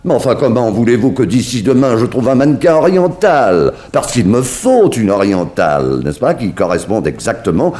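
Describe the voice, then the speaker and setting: An actor in a 1960s radio serial playing a flustered, effusive, exacting fashion designer, with theatrical stammered exclamations. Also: male voice, mid-range voice, lively intonation, moderate pace, few pauses, warm tone, close to an everyday voice. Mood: flustered, protesting.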